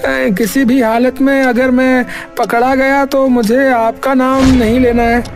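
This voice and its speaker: A hesitant village servant from a cartoon, acted by a man, who stumbles over his words and fills pauses with stammers. Soft music plays faintly behind. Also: very high-pitched, level tone. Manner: hesitant, full of stammers and fillers. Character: cheerful jalebi seller, stammering servant. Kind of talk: cartoon voice acting.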